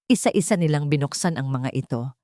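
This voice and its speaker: A woman narrates a dramatic love story in a low-pitched voice. The delivery is scripted and measured, with emphatic suspense, and the sound is dry. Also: animated, mellow, dry recording.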